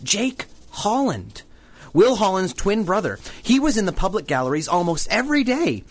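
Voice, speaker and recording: A man's voice: high-pitched, sing-song, normal speed, exaggerated voice. A man playing a mild, earnest, hesitant newspaper reporter who stammers, in a 1930s-style radio serial. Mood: earnest, excited.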